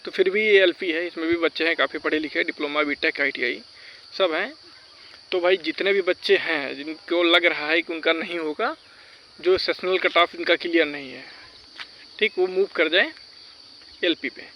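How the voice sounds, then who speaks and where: A man's voice: fairly high pitch, normal speed, lo-fi sound, a little hiss or hum. A man giving informal, insistent advice to students, cautioning and repeating his points. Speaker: exam-advice video creator. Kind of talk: informal advisory talk to students.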